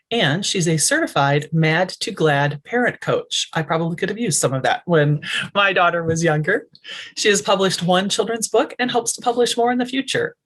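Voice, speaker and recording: A woman hosting a webinar, introducing the guest speaker briskly with small asides, over a video call. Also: on the low side, slightly rough. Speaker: webinar host.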